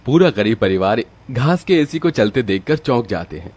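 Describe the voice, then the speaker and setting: A man's voice: medium pitch, big pitch swings, moderate pace, a little mellow, breathy edge. A man narrating a scripted moral story as its storyteller.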